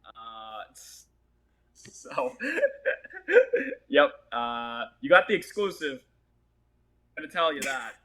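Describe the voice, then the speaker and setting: Casting: man, native Portuguese speaker, bright and forward tone, loose rambling delivery with fillers. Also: a little high, huge pitch swings, drawn-out words, pauses often, low-quality recording. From Boston area, USA. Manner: rambling, filler-heavy, laughs often. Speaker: actor, interview guest. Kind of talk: casual interview chat.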